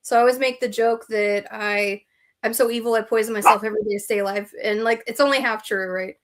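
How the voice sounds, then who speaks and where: A woman's voice: a little high, steady tone, fast. A woman hosting a podcast, who chats in a casual way and laughs easily. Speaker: podcast host. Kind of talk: casual chat, interview.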